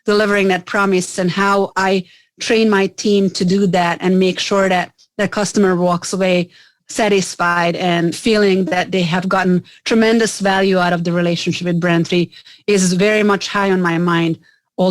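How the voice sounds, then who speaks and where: A woman's voice: fairly low pitch, fairly even intonation, normal speed, dry recording. A middle-aged woman from Hungary, a business owner talking in an interview, earnest, often restarting words and saying "you know". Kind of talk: casual interview conversation.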